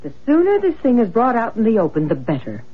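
An earnest older mother-in-law in an old radio mystery, articulate and insistent. Her voice is husky with lively swings of pitch, on a muffled broadcast recording. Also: fast talker, exaggerated voice. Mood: persuasive. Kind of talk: vintage radio drama acting.

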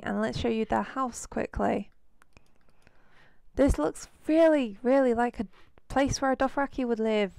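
Hoarse lady with a cold rambling about her game, gravelly but smooth, dry close mic. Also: a little high, darkish tone, no echo. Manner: chatty, rambling with fillers. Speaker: let's play host. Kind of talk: casual game commentary.

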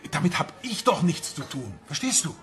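A man's voice: a little high, very animated, normal speed, a bit bright. An actor playing a married man in a German radio crime drama, hesitant and defensive as he justifies himself. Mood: defensive, agitated. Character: married man evasively ending his affair, self-justifying. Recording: music behind the voice.

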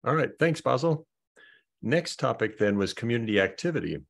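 A man hosting an online project meeting, talking through updates in a halting way and often repeating words as he thinks. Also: mid-range voice, animated, vocal fry. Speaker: meeting host.

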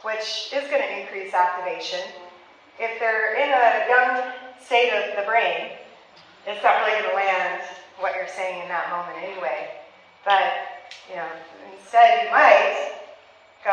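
A woman's voice: mid-range voice, fairly bright tone. A woman in her thirties, a therapist leading a parenting workshop for a small group, talking with her hands and laughing often. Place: meeting room with flip charts on the walls. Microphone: handheld mic, filmed from across the room. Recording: echoey room heard from a distance.

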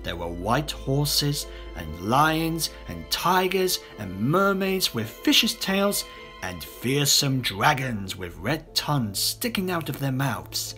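A man reads a children's story in an expressive narrator's style. His medium-pitched voice moves with lively intonation at an unhurried pace. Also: faint music behind. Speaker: voice actor.

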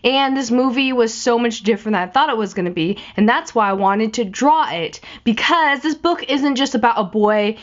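A young woman in her twenties, slightly raspy from a cold, rambling chattily about a film while she sketches. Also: a little high, normal speed, few pauses. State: recovering from a cold. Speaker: art vlogger. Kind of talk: casual chat while drawing. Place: small room in front of a patterned backdrop. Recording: slightly dull lo-fi audio.

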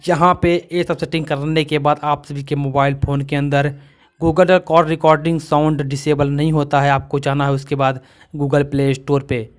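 A man's voice: fairly high pitch, flat delivery, moderate pace. A man from India narrating a step-by-step phone tutorial as its host, in a repetitive, instructional way.

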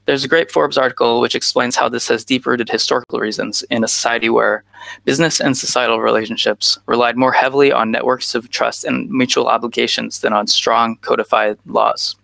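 A man's voice: medium pitch, fairly even intonation, fairly quick, vocal fry, sharp tone, no room sound. A man in his thirties from the United States gives a conference talk over a video call on a headset, with frequent "uh" fillers. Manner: frequent "uh" fillers, earnest and informal. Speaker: guest speaker.

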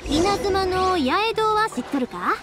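An actress playing a cheerful, big-hearted young fireworks maker in a Japanese game, speaking informally and earnestly with a Kansai accent. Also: female voice, very high-pitched, lively intonation, a little slow, over music. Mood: wistful, explaining. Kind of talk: Japanese anime-style game voice acting.

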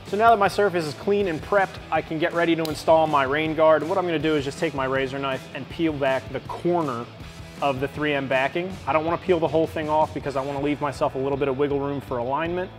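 A man in his thirties walking through an install step by step in a bright indoor garage. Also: fairly high pitch, fast, faint music behind. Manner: steady step-by-step instruction. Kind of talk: how-to install walkthrough.

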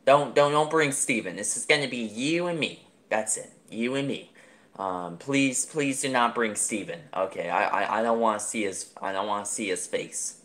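A man's voice: on the low side, normal speed, a bit bright, pitched below the performer's own voice, natural voice. A man playing a nervous, pleading young suitor in an improvised skit, stammering and repeating himself.

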